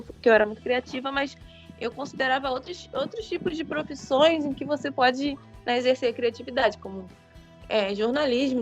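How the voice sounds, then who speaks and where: A woman's voice: a little high, muffled recording. A woman in her thirties from Rio de Janeiro with a Carioca accent, talking casually as an interview guest and often correcting herself. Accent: Carioca Brazilian Portuguese. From Rio de Janeiro, Brazil. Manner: rambling, many fillers and self-corrections. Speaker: guest writer.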